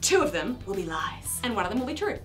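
Lively, chatty woman gaming at her desk. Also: mid-range voice, fast talker, soft background music. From New Zealand. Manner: chatty, self-mocking, exclaims at the game. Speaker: gaming video host. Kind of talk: casual let's-play commentary. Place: small decorated room indoors.

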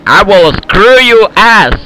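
Man, high voice, Eastern European accent, slow boastful broken-English trolling on a headset chat. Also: very animated, sharp tone, rough recording. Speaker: voice chat player. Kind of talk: prank call banter in game voice chat.

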